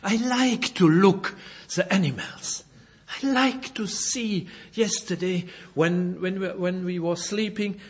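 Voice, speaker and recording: Man preaching, husky, slow and halting, repeats words, Belgian. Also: high voice. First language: French. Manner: halting, repeats words, reads scripture aloud. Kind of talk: sermon.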